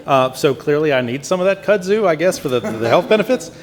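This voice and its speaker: A man's voice: a little high, expressive, a little fast, slight background noise. Stuffy-nosed man lecturing in a hall. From Virginia, Shenandoah Valley. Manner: conversational, hesitant with many fillers and restarts. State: stuffy nose from sinus infection. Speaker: conference speaker. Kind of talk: lecture presentation. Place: conference hall. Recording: long hall reverb, faint ambience.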